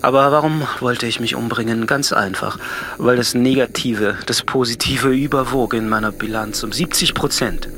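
An actor playing a solitary, coolly analytical man near forty, speaking a measured, introspective literary monologue that often sinks to a murmur. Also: medium pitch, steady tone, a bit bright, a little hiss or hum, natural voice. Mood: brooding, measured. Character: brooding, solitary thirty-nine-year-old man, coolly analytical. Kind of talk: literary radio drama monologue. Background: music.

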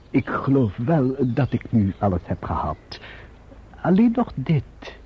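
An actor playing a formal, servile and self-serving manservant in a Dutch radio drama, with a Netherlands accent and measured delivery. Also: male voice, mid-range voice, very animated, a little hiss or hum. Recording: muffled old radio drama recording.

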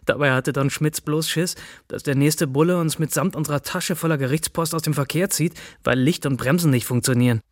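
A man's voice: a little high, fairly quick, no room sound, studio quality, lightly acted. An actor playing a griping male bicycle courier, narrating a radio drama in a sardonic, slangy, colloquial way. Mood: wry, griping.

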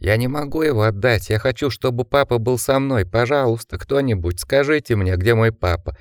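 A man's voice: mid-range voice, a little fast, dry recording, clean studio sound, natural voice. A man voicing a feverish, frightened young man who pleads and whimpers. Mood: terrified.

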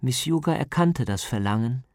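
A man's voice: medium pitch, expressive, moderate pace, darkish tone, no room sound, studio quality. A man narrating a radio documentary from a script, as its author telling the story.

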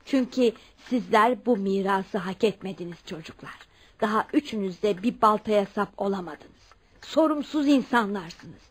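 An actress in a radio play playing a wealthy elderly aunt, stubborn, haughty and firm. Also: female voice, medium pitch, normal speed, breathy edge, natural voice. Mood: stern, resolute. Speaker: radio drama actor. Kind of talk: Turkish radio theatre acting.

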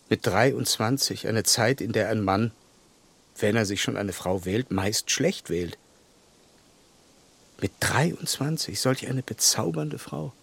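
An actor playing a reserved, upright and tender gentleman in a radio drama, speaking earnestly and haltingly. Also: on the low side, creaky, husky, exaggerated voice. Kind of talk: German radio drama acting of a classic novel.

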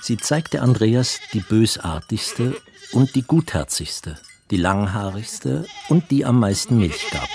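A man playing the composed narrator of a literary radio play, telling an old tale in a measured way. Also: on the low side, big pitch swings, creaky, exaggerated voice.

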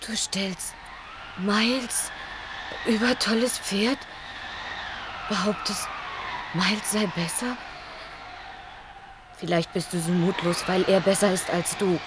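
A woman's voice: mid-range voice, fairly even intonation, slow, long pauses, close to an everyday voice. An actress in a German radio play, playing a determined young Native girl, an earnest, persuasive daughter pleading her case. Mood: challenging, insistent. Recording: noisy old radio recording.

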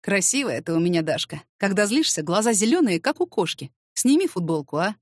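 A woman's voice: medium pitch, big pitch swings, brisk, dry recording, studio quality. A woman voicing a confident, cheeky male boss in an audiobook, with a teasing, seductive manner. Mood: flirtatious.

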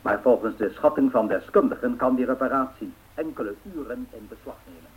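An actor playing a formal, factual 1930s radio newsreader reading a bulletin. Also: male voice, medium pitch, fairly quick, close to an everyday voice, low-quality recording. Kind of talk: scripted news bulletin in a radio play. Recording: thin band-limited old radio sound.

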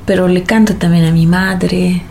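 A woman's voice: on the low side, fairly even intonation, moderate pace, gravelly, darkish tone, a little hiss or hum. A woman with a Bolivian accent, a singer-songwriter talking reflectively and conversationally in an interview. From Bolivia, mining camps.

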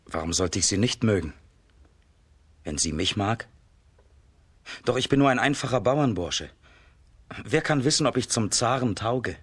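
Husky, earnest young hero. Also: male voice, fairly low pitch, animated, a little slow, long pauses. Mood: humble, earnest. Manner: earnest, sincere. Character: brave humble young peasant hero, earnest. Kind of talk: children's radio drama acting.

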